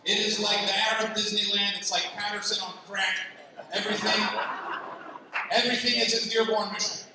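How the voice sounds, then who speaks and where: A Palestinian man doing a lively stand-up set, teasing the crowd and jumping up in pitch when excited. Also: brisk. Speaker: stand-up comedian. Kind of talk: stand-up comedy set at a gala. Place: large banquet hall. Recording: far-off mic in an echoey banquet hall with noise.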